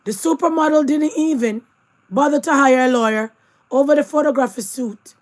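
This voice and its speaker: A woman, hoarse and losing her voice, giving casual news commentary with chatty asides to her viewers. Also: high voice, normal speed. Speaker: commentary host.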